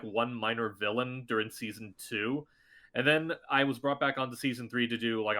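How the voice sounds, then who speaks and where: A man chatting as a podcast guest, his voice bright and pushed forward. He tells stories eagerly and leaps up in pitch when he acts out what people said. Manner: chatty, excitable, acts out quotes in a higher voice. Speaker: voice actor guest. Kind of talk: casual podcast chat.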